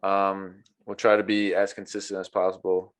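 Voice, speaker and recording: A man's voice: deep voice, monotone, normal speed. A man hosting a casual podcast, wrapping up the show in a laid-back way with plenty of fillers. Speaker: podcast host. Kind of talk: casual chat, show sign-off.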